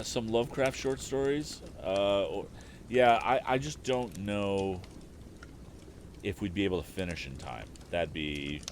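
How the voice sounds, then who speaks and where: Male storytime streamer, low register, informal and unhurried in thought, slight noise on the recording. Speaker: storytime livestream host and reader. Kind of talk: casual stream chat and book narration.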